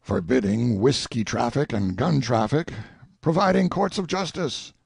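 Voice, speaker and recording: A man's voice: mid-range voice, a little slow, gravelly, darkish tone, breathy edge, dry recording, clean studio sound, voice pushed up, heavily acted. A man playing an indignant, self-pitying, sanctimonious king in a theatrical audiobook monologue, full of exclamation and emphasis. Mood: aggrieved.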